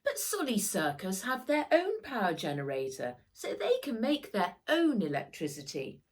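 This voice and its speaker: A woman's voice: mid-range voice, huge pitch swings, normal speed, pitched above the performer's own voice. A woman voicing an eager, boisterous young boy in a children's storybook read-aloud, full of exclamation. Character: excited, boisterous young boy on a snowy farm.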